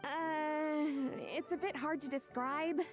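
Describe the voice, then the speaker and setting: A woman playing a chatty, modest and easily flustered young woman in a video game, expressive and a little hesitant. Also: sits high, takes it slowly, over music.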